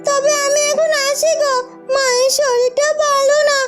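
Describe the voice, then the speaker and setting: A simple-minded village daughter from a cartoon fairy tale, her voice extremely high and childlike. She explains herself with earnest, literal innocence over soft background music. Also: flat delivery, normal speed. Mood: chirpy, earnest. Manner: childlike, literal, pleading delivery.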